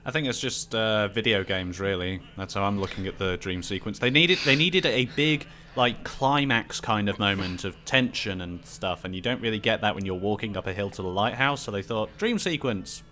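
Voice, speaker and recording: A man's voice: lowish voice, normal speed, few pauses, bright, forceful tone, slight background noise. A man hosting a question-and-answer discussion in a casual, conversational style. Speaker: host of a Q&A discussion. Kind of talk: casual chat. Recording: music playing behind the voice.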